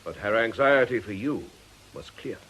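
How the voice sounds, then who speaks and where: An actor playing an earnest, reasonable priest in a British radio drama, tactful, concerned and gently challenging. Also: male voice, lowish voice, lively intonation, moderate pace, husky, dull audio.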